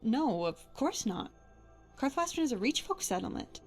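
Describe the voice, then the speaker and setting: A woman's voice: on the high side, very animated, normal speed, soft background music. A woman playing a tough, sardonic but caring adventuring companion in a fantasy video game. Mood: worried, earnest. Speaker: voiced follower in a game mod.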